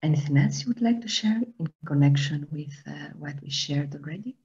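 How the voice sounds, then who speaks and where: A woman's voice: deep voice, lively intonation, brisk, mellow, breathy edge. A woman in her sixties with a Greek accent, teaching a meditation workshop over a video call, hesitant and full of fillers. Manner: hesitant, many fillers, searches for words. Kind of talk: slow, halting workshop talk. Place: home room, indoors. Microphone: video call webcam. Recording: dull lo-fi video call audio.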